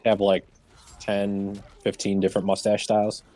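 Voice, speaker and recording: A man's voice: on the low side, monotone, moderate pace, dark tone. A man chatting casually about games as a co-host on a stream. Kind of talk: casual gaming chat. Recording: music playing underneath. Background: music.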